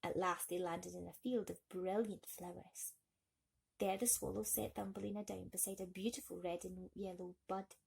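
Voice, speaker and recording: A woman's voice: on the low side, fairly even intonation, normal speed, vocal fry, a little mellow, breathy edge. A woman storyteller narrating a bedtime story expressively for children.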